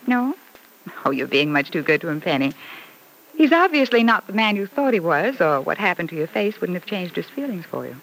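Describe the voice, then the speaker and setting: A woman's voice: fairly low pitch, very animated, fairly quick, fairly bright tone. A woman playing a gushing, sweetly catty mother in an old radio soap, effusive and theatrical with a cooing sweetness. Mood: concerned, catty. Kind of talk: old-time radio soap opera acting. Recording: noisy vintage radio recording.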